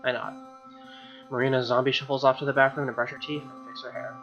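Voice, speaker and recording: A man's voice: medium pitch, little pitch movement, quick pace. A young man reading visual novel narration aloud as a let's player, informal and dropping casual asides. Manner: informal, with gamer slang. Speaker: video game let's player. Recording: slightly dull sound, music behind. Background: soft game music.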